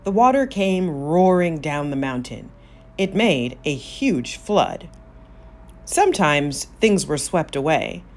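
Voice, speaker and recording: A woman narrating a children's story aloud, expressive, with drawn-out emphasis on key words. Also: low-pitched. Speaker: storybook reader.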